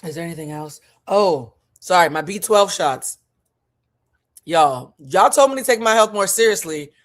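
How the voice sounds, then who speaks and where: A vlog host in their forties with a husky voice, chatting casually and impromptu, talking frankly and swearing freely. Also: mid-range voice, animated, moderate pace, a bit bright.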